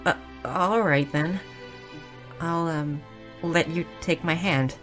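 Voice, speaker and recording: A woman voicing a shy, nerdy, curious young goblin girl in a roleplay audio drama, speaking softly and earnestly with small stammers. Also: deep voice, slow pace, faint music behind. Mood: nervous, flustered. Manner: soft, hesitant, stammering, earnest.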